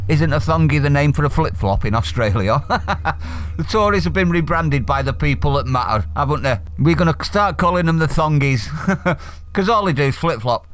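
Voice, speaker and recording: Mancunian guy ranting on his vlog, sarcastic and blunt, bit poorly. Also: fairly high pitch. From Manchester, England. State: slightly unwell. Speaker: political commentary vlogger. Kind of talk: opinionated solo vlog rant.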